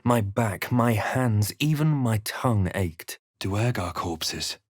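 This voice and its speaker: An actor playing a brooding male adventurer in a fantasy game, narrating his quest in a measured, theatrical, grave manner. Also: fairly low pitch, expressive, no echo, clean studio sound. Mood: ominous, reflective. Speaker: player character in fantasy role-playing game. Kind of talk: dramatic scripted game voice lines.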